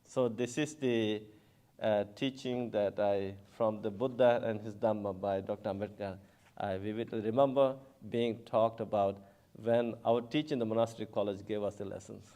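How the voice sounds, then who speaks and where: A man who is a Buddhist monk from Bangladesh, giving an unhurried symposium talk with frequent chuckles and hesitations. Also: fairly low pitch, fairly even intonation, mellow. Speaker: Buddhist monk, panel speaker.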